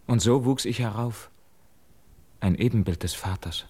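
An actor playing a young man haunted by guilt, declaiming classical verse drama in a solemn, measured way. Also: lowish voice, darkish tone, slightly rough. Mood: melancholy, yearning.

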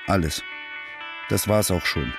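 Over prominent guitar music, a lonely, downcast working-class loser. His voice sits a little low and he lays out his life in short, bleak fragments, like a radio play monologue. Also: lively intonation, measured pace. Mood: bleak, resigned. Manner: terse, bleak, fragmented phrases.

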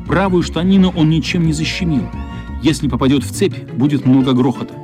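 A man narrating a radio play in an expressive, measured literary storytelling style. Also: on the high side, faint music behind.

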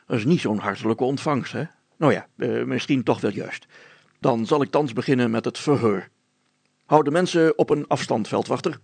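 A man voicing a short, fat, pompous village mayor, gruff, stammering and suspicious, congested with hay fever, for a storybook. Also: medium pitch, moderate pace, a little mellow, no echo. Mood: grumbling. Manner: gruff, stammering, self-important.

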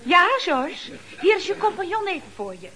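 An actress playing a brisk, familiar office telephonist in a Dutch radio comedy. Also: female voice, very high voice, sing-song, crowd noise. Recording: noisy old radio recording.

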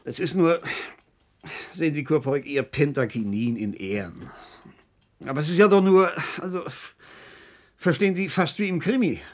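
Blustering, high-pitched irascible professor played by an actor. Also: male voice, expressive, moderate pace, pauses often, lightly acted. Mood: strained, pleading. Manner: blustering, sarcastic, impatient. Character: irascible, domineering male professor and chief physician. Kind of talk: German radio drama acting.

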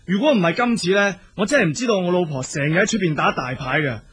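A man's voice: high voice, big pitch swings, fast, a bit bright. A man acting an apologetic husband who is secretly cross, in a Hong Kong Cantonese radio drama.

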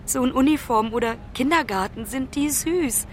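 Actress as high-voiced daughter on the phone. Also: female voice, a little hiss or hum, natural voice. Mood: tender, delighted. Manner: halting, conversational, breaking off mid-sentence. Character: travelling daughter, a musician, anxiously phoning her mother. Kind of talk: naturalistic German radio drama acting.

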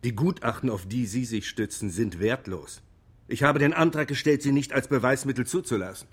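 An actor playing an insistent, rhetorical lawyer arguing in a radio drama courtroom. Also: male voice, medium pitch, slightly rough, lightly acted. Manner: emphatic, rhetorical, deliberate.

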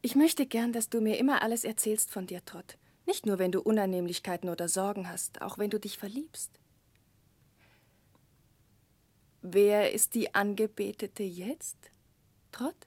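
An actress playing a gentle, earnest, caring young woman with a soft voice in a 1960s radio drama. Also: medium pitch, normal speed, no echo, close to an everyday voice. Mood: fond. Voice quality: soft, breathy.

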